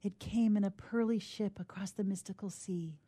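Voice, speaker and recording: A woman's voice: mid-range voice, steady tone, moderate pace, warm tone, husky, no echo. A woman telling stories on a radio show, both reading aloud and chatting in a friendly way. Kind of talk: storybook reading and friendly chat.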